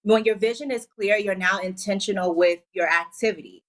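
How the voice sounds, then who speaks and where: An upbeat woman from Liberia, in her forties, talks as a guest on a TV talk show. She sets out her business advice point by point, in a voice of middle pitch. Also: moderate pace. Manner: upbeat, gives advice in clear numbered tips. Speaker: entrepreneur guest.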